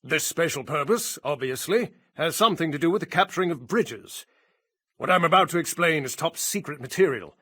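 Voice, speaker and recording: A man's voice: a little high, animated, brisk, a bit bright, no room sound, clean studio sound. An actor playing a stern, sardonic British army officer with a formal manner, briefing his men in a video game. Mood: stern, warning. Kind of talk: military briefing, scripted game acting.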